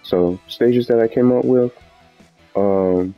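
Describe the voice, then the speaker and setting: A man's voice: mid-range voice, takes it slowly, warm tone. A man giving casual, unscripted commentary as a gaming video host, rambling and often repeating and rephrasing himself. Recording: dull lo-fi mic with background music.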